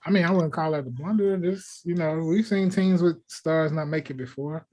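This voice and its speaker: Higher-pitched man debating casually. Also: normal speed, dark tone. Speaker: talk show panelist.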